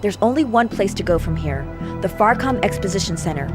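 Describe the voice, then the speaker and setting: Woman doing a wry hacker agent, mid-pitched, music behind. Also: expressive, fairly quick, close to an everyday voice. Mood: focused. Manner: wry, dry humor. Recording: game cutscene audio with music.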